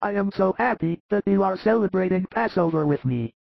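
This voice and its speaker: A man's voice: high voice, animated, brisk, gravelly, no echo, lo-fi sound, voice pushed up, heavily acted. A man voicing a frail, dying man who pleads tearfully and fears death. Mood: weak pleading.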